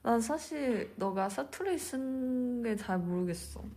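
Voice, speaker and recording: A woman co-hosting a casual chat, relaxed and chatty with drawn-out pauses. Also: a little high. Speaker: co-host.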